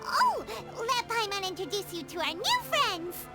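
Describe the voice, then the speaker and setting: A woman voicing a tiny, chirpy, childlike floating companion in an animated game, with a squeaky voice. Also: a little slow, loud music behind the voice, exaggerated voice. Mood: curious, chatty. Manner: refers to self in third person, exclaiming.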